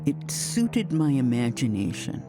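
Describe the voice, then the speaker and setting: A woman's voice: a bass voice, very animated, a little slow. A woman from the United States reflecting in a documentary interview, thoughtful and emphatic. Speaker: interviewee, radio drama producer. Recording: dry, faint music behind.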